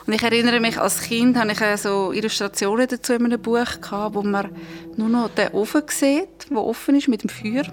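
A woman with a Swiss German accent co-hosts a podcast in casual conversation. Her voice sits a little high, and music plays underneath. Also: steady tone, fairly quick. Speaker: podcast co-host and producer. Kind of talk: casual chat.

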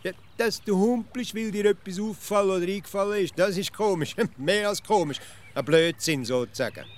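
An actor playing a naive, stubborn provincial philosopher in a comic radio play, querulous and nitpicking, with a Swiss accent. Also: male voice, sits high, a little fast, close to an everyday voice. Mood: argumentative. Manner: querulous, plain folksy nitpicking. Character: naive, stubborn, literal-minded provincial male simpleton philosopher.

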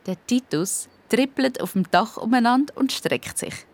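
A woman's voice: on the high side, animated, measured pace. A woman with a Swiss accent narrating a scripted audio story for children.

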